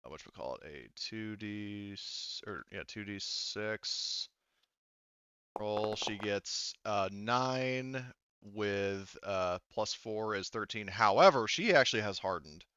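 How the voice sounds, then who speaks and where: A man working as game master, narrating a tabletop roleplaying game casually and haltingly as he thinks aloud over the dice. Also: lowish voice, lively intonation, sharp tone, smooth, no room sound. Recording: slightly muffled dry voice recording.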